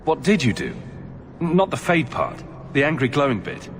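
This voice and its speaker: An actor voicing a pragmatic, businesslike adventurer with a terse, direct delivery in a fantasy video game. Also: male voice, medium pitch, wildly swooping pitch, normal speed. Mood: concerned. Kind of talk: dramatic video game voice acting. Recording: reverberant hall, clear background noise.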